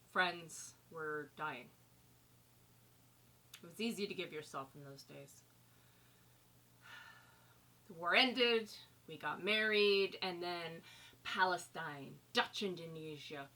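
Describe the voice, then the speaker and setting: A woman's voice: fairly low pitch, takes it slowly, pauses often, pushed and bright. A woman acting a wistful war bride in a dramatic stage monologue, reflective and confiding as she remembers wartime. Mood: nostalgic.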